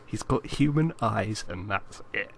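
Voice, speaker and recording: A man with a fairly low voice chats casually as co-host of a film podcast. He teases and questions with a mock-serious manner. A slight noise sits behind his voice. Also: lively intonation, measured pace, creaky. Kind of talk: casual chat about films.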